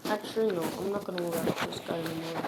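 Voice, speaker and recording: A woman's voice: on the low side, steady tone, fast talker. Offhand female voice on a noisy recording. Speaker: video creator reacting to videos. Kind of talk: casual unscripted reaction commentary. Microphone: phone or device mic during screen recording. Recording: noisy home screen-recording audio with clicks.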